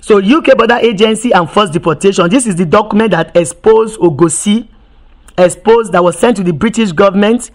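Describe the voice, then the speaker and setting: A woman's voice: mid-range voice, fairly quick. A woman activist giving impassioned testimony, emphatic, often repeating and restarting words and firing off rhetorical questions.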